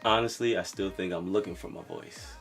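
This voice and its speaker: Young man from Brooklyn, singer-songwriter, low soft voice, relaxed and rambling. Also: a little fast, creaky, faint music behind. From Brooklyn, New York. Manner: relaxed, rambling, lots of fillers like "you know". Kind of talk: casual talk to camera about his music.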